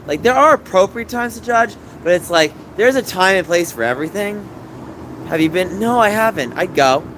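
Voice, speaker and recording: A man from the East Coast of the US, hosting a livestream and chatting to viewers in a rambling, animated way with lots of fillers. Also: a little high. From New York, raised in New Jersey. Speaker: livestream host. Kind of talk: casual chat with viewers and callers. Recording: wind and background noise on the mic.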